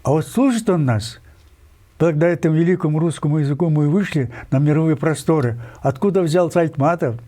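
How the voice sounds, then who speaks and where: An older man from Kyrgyzstan, a writer giving an interview, talking insistently with many digressions, pauses and fillers. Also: a little high, warm tone. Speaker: guest writer. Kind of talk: emphatic interview talk.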